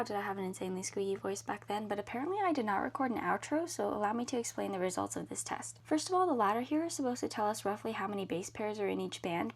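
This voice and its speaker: A young woman in her teens explains lab results in a clear, methodical voice-over. Her tone is steady and her voice often dips into a gravelly fry. She sounds close to the mic. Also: mid-range voice. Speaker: science channel host.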